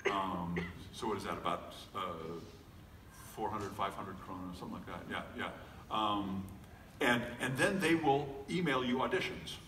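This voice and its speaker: A man's voice: on the low side, normal speed, a bit bright. A middle-aged man, a voice actor, talking casually at a convention panel in a joking, self-deprecating way with lots of fillers and restarts. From United States. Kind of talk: casual panel talk to an audience. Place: large convention hall. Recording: echoey hall recording from far off, faint noise.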